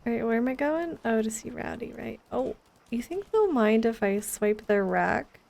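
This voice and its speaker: A woman's voice: on the high side, lively intonation, normal speed, vocal fry, a little mellow. A young woman streaming a game, chatting and giggling as she rambles about what she sees. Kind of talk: casual gameplay commentary.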